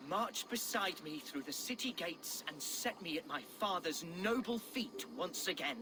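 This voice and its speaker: Game casting: eager young exiled lord, high and swooping, polite, florid, easily flustered. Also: male voice, a little slow, rough recording. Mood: earnest, troubled. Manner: florid, polite, apologetic, excitable. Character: earnest young exiled nobleman, meek amateur poet, eager and flustered. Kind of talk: scripted video game drama acting.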